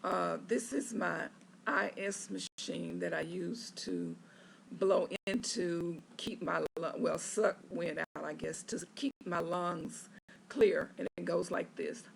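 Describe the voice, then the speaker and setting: A patient recording a casual video diary from a hospital bed, hoarse and raspy after neck surgery, talking in a slow, halting way with many filler pauses. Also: medium pitch, slight background noise.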